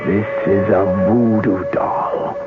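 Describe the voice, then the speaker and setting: An actor playing a grave, vengeful voodoo practitioner in a radio drama, chanting slowly and dramatically. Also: male voice, on the low side, steady tone, loud music behind the voice. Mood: menacing, intense. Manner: slow, incantatory, dramatic. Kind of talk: 1970s radio drama acting. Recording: muffled, noisy old radio broadcast.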